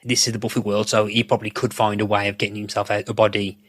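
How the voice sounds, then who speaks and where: Lowish-voiced man rambling through podcast chat. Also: flat delivery, rapid-fire, a bit bright, dry recording, clean studio sound. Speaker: podcast host. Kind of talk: casual episode discussion.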